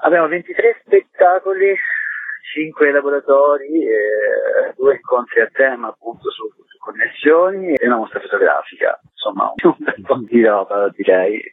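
A man being interviewed by phone on the radio as a festival director, explaining in a discursive way with pauses mid-phrase. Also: mid-range voice, breathy edge, no echo. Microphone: telephone call to radio. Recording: thin, phone-like narrow-band line.

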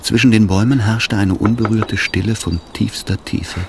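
A man narrating a literary radio drama in a measured, solemn manner. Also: on the low side, fairly even intonation, gravelly, noisy background. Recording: orchestral music behind the voice.

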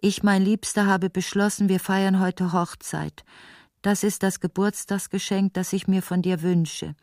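A woman's voice: mid-range voice, flat delivery, measured pace, darkish tone, no echo, clean studio sound, close to an everyday voice. An actress playing a middle-aged woman prisoner in an intimate radio play, tender, theatrical and wryly self-mocking. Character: imprisoned ailing woman of forty-eight, tender, self-mocking, longing.

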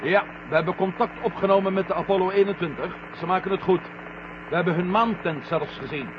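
A man acting a headstrong astronaut in a vintage radio play. His voice sits high for a male, and he talks in a commanding, impatient way. The old recording is dull, with clear noise over it. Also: brisk, natural voice. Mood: businesslike. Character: headstrong, curious male astronaut on a moon mission. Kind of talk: 1970 Dutch radio drama acting.

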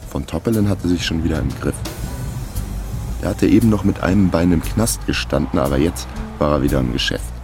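A man playing a laconic fixer who narrates his case in a terse, deadpan way in a radio crime drama. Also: very deep voice, a little fast, over music. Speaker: narrator and protagonist.

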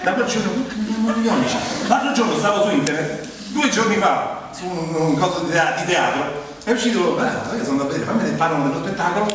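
A man with a Roman accent and a slightly high voice performs a comic monologue about himself on stage. His delivery is conversational and exasperated. The recording is distant, with heavy hall echo and clear background noise. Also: animated, fast, a bit bright. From Rome, Italy. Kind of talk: comic autobiographical theatre monologue. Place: live stage performance in a theatre. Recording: echoey theatre hall, distant mic, noisy.

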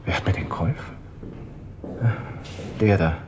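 Low-voiced, agitated young Viennese lieutenant. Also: male voice, a little slow, background noise. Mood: anxious, bewildered. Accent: Viennese Austrian. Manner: agitated self-talk, from hushed muttering to outbursts. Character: panicked, proud young army lieutenant brooding on his honour. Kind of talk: radio drama interior monologue.

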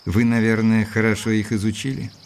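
Casting: male actor for a restrained, doubting gentleman detective-narrator, fairly low voice, measured delivery, dramatised audiobook. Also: flat delivery, a little hiss or hum, lightly acted. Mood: curious. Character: reserved, sceptical gentleman investigator telling his story. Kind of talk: dramatised first-person narration and dialogue.

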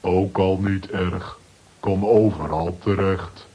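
A man's voice: low voice, steady tone, takes it slowly, husky, lo-fi sound, heavily acted. An actor playing a simple, unflappable strongman butler who speaks in clipped phrases in a children's audio drama. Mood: stubborn, unbothered. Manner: clipped telegram-style phrases.

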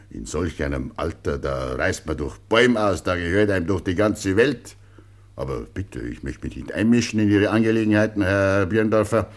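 A low male voice of an actor as a village notary in a radio drama. He is well-meaning yet incredulous, speaking expressively and hesitating now and then as he admonishes. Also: moderate pace, natural voice. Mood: incredulous, admonishing. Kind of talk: German radio play acting.

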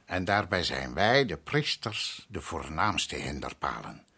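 An actor playing a proud island head priest in a radio drama, courteous and measured yet stubborn, with a husky voice. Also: male voice, rumbling low, very animated, bright, forceful tone, heavily acted. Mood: grave, warning. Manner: measured, courteous, evasive, insistent.

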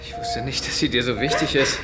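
A woman's voice: a bass voice, steady tone, fairly quick, heavy background noise. An actress in a German radio drama playing a concerned, firm woman, heard in an echoey space. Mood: urgent, consoling. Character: concerned woman firmly holding back a friend. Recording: echoey room, loud music.

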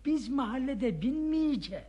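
A man's voice: extremely high pitch, lively intonation, moderate pace, lo-fi sound. An actor playing a familiar, enthusiastic friend, a jovial man in a radio play. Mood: eager. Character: chummy, enthusiastic older male friend and bicycle enthusiast. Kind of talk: Turkish radio theatre acting.

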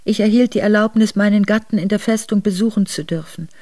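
A woman's voice: mid-range voice, fast talker, warm tone, no echo, close to an everyday voice. An actress in a radio drama playing a steadfast aristocratic wife who recounts her memoirs in composed, formal narration. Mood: composed, sorrowful. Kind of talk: literary first-person narration in a radio drama. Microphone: close dry studio microphone.

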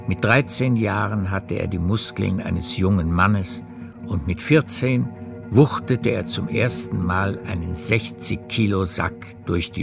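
Deep gravelly male story narrator. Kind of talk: scripted literary narration in a radio play. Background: music.

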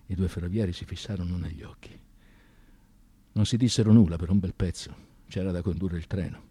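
A man delivers theatrical radio storytelling in a slightly low voice. His intonation swings widely, with emphatic stresses and deliberate pauses, and the sound is dry and close. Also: dark tone, slightly rough, dry recording. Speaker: narrator of a radio drama. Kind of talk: dramatic scripted storytelling, theatrical radio narration.

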